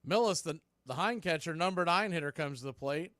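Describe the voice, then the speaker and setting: A man's voice: fairly high pitch, lively intonation, brisk, a bit bright, dry recording, clean studio sound. A man doing live radio baseball play-by-play, with punchy clipped calls and conversational asides.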